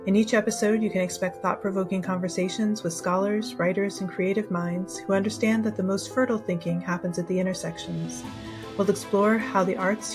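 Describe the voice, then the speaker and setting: A woman hosting a podcast, reading a polished intro and then asking questions in a measured way. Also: mid-range voice. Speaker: podcast host. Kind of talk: scripted intro and interview.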